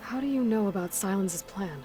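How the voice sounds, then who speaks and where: An actress playing a guarded, blunt and skeptical young warrior heroine in a video game, with a direct, probing manner. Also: female voice, mid-range voice, animated, fairly quick, warm tone, soft background music, natural voice. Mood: wary, probing. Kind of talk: video game cutscene acting.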